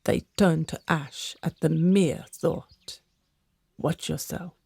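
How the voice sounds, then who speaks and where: Husky, creaky old gossiping servant woman, acted. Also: low voice, steady tone, slow pace, warm tone, dry recording, full character voice. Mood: conspiratorial. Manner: conspiratorial, theatrical. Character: gossiping older servant woman, secretive and dramatic. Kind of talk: radio drama acting.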